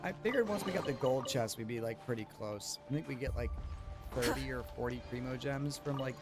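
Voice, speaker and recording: A male game streamer chats casually and thinks aloud in loose slang. His voice sits at an average pitch, with game music playing behind him. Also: brisk. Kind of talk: casual live gameplay commentary. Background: video game music.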